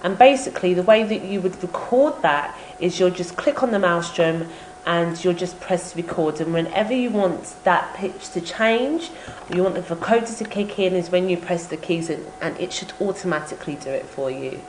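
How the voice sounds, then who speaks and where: Female tutorial presenter, low register, informal instructional delivery, slight noise behind the voice. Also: normal speed. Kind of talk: software tutorial narration. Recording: roomy echo with background noise.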